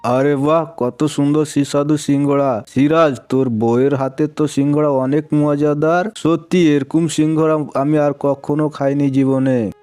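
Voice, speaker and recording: A man playing a wealthy, patronizing village moneylender with drawn-out exclamations in a cartoon story. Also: on the high side, steady tone, darkish tone, clear, resonant tone, no echo, soft background music, close to an everyday voice. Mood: impressed.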